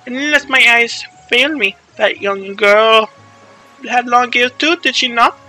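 A man voicing a stern, smug bird-man who lectures deliberately and sarcastically in a video game story. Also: extremely high pitch, pushed and bright, soft background music, voice pushed up, full character voice, low-quality recording. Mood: stern, sarcastic.

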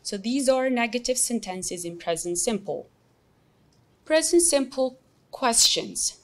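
A young woman with an Albanian accent teaching an English lesson, explaining slowly and patiently with many examples. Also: on the high side, expressive. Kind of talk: recorded classroom lesson.

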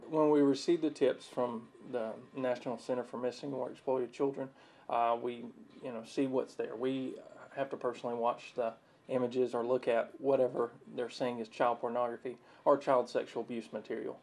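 A man in his thirties speaks as a police detective being interviewed. His voice is flat and creaky, and he explains things in a halting, official manner. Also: medium pitch, a little hiss or hum. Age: 30s. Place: small office.

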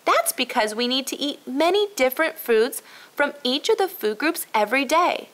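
Woman as an earnest, high-voiced storybook girl. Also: sing-song, normal speed, fairly bright tone. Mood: agreeable, earnest. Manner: earnest, explaining. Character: bright, helpful young girl solving a mystery. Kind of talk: children's storybook read-aloud.

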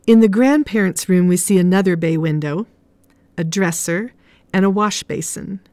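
A woman narrates a scripted tour in a mellow, fairly low voice. The sound is dry, with very little room on it. She speaks as the guide leading viewers through a house. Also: normal speed, no room sound.

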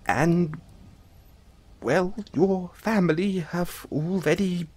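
Man acting as a breathy, anxious, stammering dollmaker. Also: high-pitched, darkish tone, no room sound. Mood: panicked, defensive. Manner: stammering, halting, flustered, placating. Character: anxious, apologetic male dollmaker who dabbles in soul magic. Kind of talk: audio roleplay, addressing the listener.

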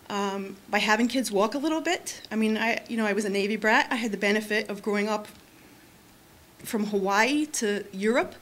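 A woman's voice: medium pitch, slight background noise. A woman in her forties giving public comment at a school board meeting from a podium microphone in a large meeting room, earnest and full of restarts. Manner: earnest, many fillers and restarts.

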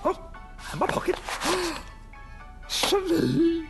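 A man voicing a childlike, bumbling middle-aged cartoon character who mumbles haltingly in gasps and exclamations. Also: very high voice, wildly swooping pitch, gravelly, heavily acted. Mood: curious, delighted. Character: childlike, bumbling middle-aged man fussing over his teddy bear. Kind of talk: cartoon voice acting. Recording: loud music behind the voice.

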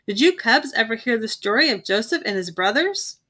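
Stern old farm lady bear, folksy scolding, bright clear voice, a woman reading her part. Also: a little high, sing-song, brisk, full character voice. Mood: storytelling.

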